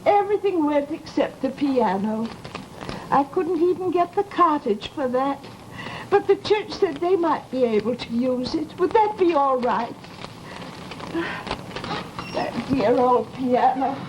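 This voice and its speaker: A woman's voice: sits high, expressive, unhurried, darkish tone, poor audio. An actress playing a fussy, sentimental landlady in an old radio drama, plaintive, folksy and easily flustered. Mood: mournful, sentimental. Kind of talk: 1940s radio drama acting. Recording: hissy old radio recording.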